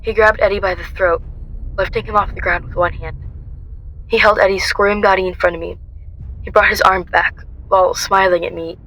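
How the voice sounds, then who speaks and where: A woman's voice: mid-range voice, fairly even intonation, fairly quick, a bit bright, rough recording. A woman playing a defiant, haunted young woman on the run, telling her story earnestly in a radio drama. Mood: anguished narration. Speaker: lead actor and narrator in an amateur radio drama. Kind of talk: first-person dramatic narration and radio drama acting. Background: music.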